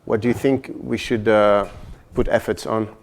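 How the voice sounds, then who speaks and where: Even-toned male panel moderator. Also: lowish voice, moderate pace, a little hiss or hum. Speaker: round table moderator.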